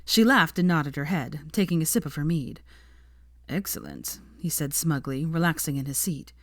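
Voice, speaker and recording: A woman reading a story aloud as narrator in an expressive audiobook style. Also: sits low, brisk, dry recording, studio quality.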